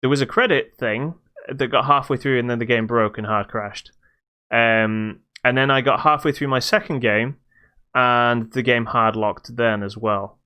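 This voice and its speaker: A man's voice: mid-range voice, normal speed, bright, forceful tone, smooth. A British man hosting a podcast, casually reviewing a video game in a hesitant, stop-start way.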